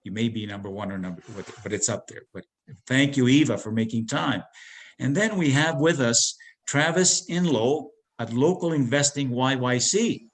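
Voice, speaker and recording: Male moderator, mid-pitched, rambling and informal as he hands the floor to panelists. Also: moderate pace. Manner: loose, rambling with fillers and restarts. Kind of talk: introducing panelists at a forum.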